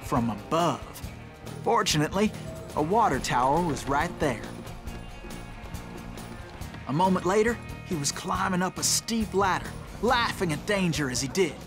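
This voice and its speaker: A man's voice: on the high side, animated, a little slow, pauses often. An actor voicing an eager, hero-worshipping young man in a Western game, narrating earnestly and dramatically with an American accent. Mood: admiring, dramatic. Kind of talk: scripted in-character narration. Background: music.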